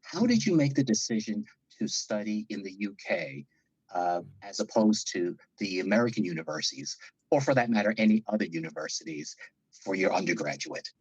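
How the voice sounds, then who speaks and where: A man hosting an education podcast, asking formal, polite questions in a measured way. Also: lowish voice, expressive, husky, no echo. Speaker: podcast host. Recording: dull lo-fi sound.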